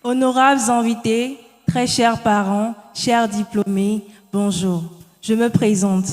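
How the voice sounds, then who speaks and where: A woman's voice: a little high, steady tone, takes it slowly, a little mellow, faint music behind. A young woman from Ghana, a student, giving a formal welcome speech. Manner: formal, declamatory. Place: hotel ceremony hall. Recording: echoey hall.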